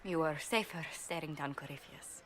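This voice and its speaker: A woman's voice: low-pitched, normal speed, a bit bright, slight background noise. An actress playing a shrewd, poised woman diplomat in a video game, speaking precisely, formally and earnestly. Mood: urgent warning.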